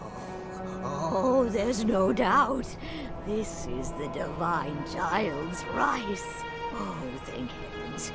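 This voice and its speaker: Very slow, cryptic old crone. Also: female voice, mid-range voice, sing-song, gravelly, exaggerated voice. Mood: craving, grateful. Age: elderly. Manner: muttering, cryptic, halting. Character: eccentric, cryptic old woman craving rice. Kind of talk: video game cutscene acting. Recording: loud background music.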